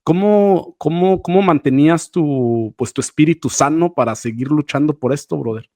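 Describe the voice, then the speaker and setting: A man's voice: fairly high pitch, normal speed, dark tone, no room sound. A Mexican man hosting a casual podcast interview, talking in a familiar, slangy, buddy-like way.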